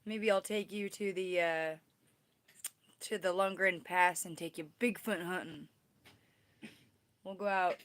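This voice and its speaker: A woman in her thirties with a slightly low voice, chatting loosely to her livestream viewers. She rambles from one thought to the next. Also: fairly even intonation, measured pace, long pauses, a bit bright. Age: 30s. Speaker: livestream host. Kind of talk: casual livestream chat.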